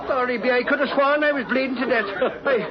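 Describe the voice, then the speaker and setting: An actor playing an excitable, gullible, boastful Irish bodyguard in 1940s radio drama, blustering and melodramatic. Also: male voice, extremely high pitch, fast. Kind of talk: 1940s radio comic-relief acting. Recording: dull 1940s radio, crowd noise.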